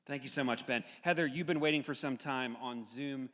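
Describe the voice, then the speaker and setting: A man's voice: medium pitch, fairly quick, a bit bright. A man hosting and moderating a live audience discussion, recorded in a large hall. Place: large hall, live event with video call. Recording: echoey hall, long reverb.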